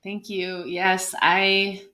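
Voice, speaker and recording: A woman musician from California talking in a gentle, earnest way over a video call, laughing easily. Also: mid-range voice, level tone, extremely slow. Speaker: musician guest. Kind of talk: singing then casual chat. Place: home, at a window with trees outside.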